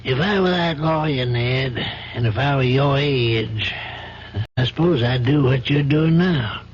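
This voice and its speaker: An actor playing an older, compassionate judge in an old-time radio drama, reasoning in a measured, probing, paternal manner. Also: male voice, mid-range voice, close to an everyday voice. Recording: dull, faintly noisy old radio recording.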